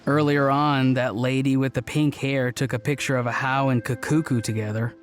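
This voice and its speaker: A man playing a gentle, bashful young man in a video game cutscene, low-key and candid. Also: steady tone, normal speed, soft background music, close to an everyday voice. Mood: mild.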